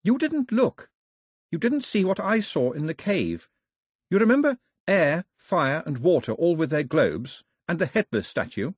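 A woman's voice: fairly low pitch, sing-song, measured pace, a little mellow, breathy edge, dry recording, studio quality, pitched above the performer's own voice, full character voice. A man voicing an earnest, proper young girl who speaks in a prim, insistent way. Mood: urgent, insistent.

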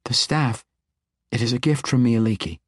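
A man reading the part of an earnest, gentle woman adventurer in a fantasy audiobook, soft and hesitant in manner. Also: mid-range voice, moderate pace, no room sound, clean studio sound, pitched above the performer's own voice, close to an everyday voice. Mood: grave, hesitant.

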